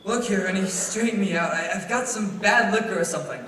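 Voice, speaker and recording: A man's voice: sits high, brisk, a bit bright. A young-sounding performer, a man, playing a frantic, bewildered family man in a stage radio play, stammering and agitated. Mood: desperate. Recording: very echoey stage, distant mic, faint noise.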